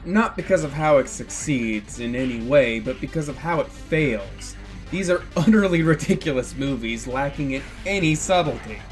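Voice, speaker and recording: A man's voice: a little high, animated, normal speed, soft background music, rough recording. A man giving casual, opinionated film commentary in a blunt, sardonic way. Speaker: video essayist reviewing films.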